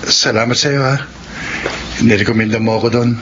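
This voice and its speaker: A man plays a sincere, hardworking teenage Filipino boy in a radio drama. His pitch is medium and his manner respectful. The recording is muffled and clearly noisy. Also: fairly even intonation, fairly quick, close to an everyday voice. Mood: friendly, sincere. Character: earnest, hardworking teenage son and student.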